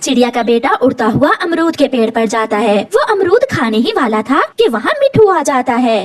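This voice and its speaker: Female storyteller for children's cartoon tales, high voice, very animated delivery. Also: moderate pace, no echo. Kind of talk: scripted cartoon story narration.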